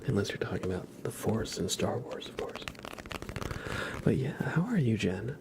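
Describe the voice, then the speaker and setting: A man softly chatting to viewers as an ASMR livestreamer, gentle and unhurried, often in a whisper. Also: fairly low pitch, big pitch swings, very noisy.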